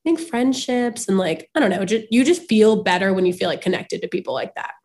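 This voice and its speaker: A young woman in her twenties co-hosting a podcast, a little raspy and sniffly from a cold, chatting and laughing through run-on stories. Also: mid-range voice, expressive, fairly quick, a little mellow. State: slightly hoarse and sniffly from a cold. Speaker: podcast co-host. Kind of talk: casual chat.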